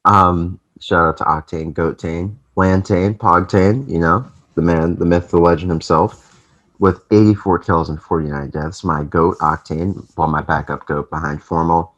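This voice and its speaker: Very deep-voiced young man hosting an esports recap. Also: fairly even intonation, moderate pace, a bit bright, highs cut off. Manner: rambling, chatty, lots of fillers. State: voice affected by allergies. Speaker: esports channel host. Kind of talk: casual esports recap vlog.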